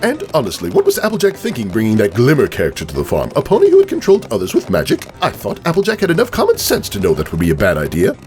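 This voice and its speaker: Man acting out a blunt farm pony stallion, exasperated rambling, raised pitch, swooping delivery, cartoon storybook, music underneath. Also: wildly swooping pitch, fast talker, few pauses, a little mellow. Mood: exasperated gossiping. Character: male farm pony, compulsively blurting blunt scolding thoughts.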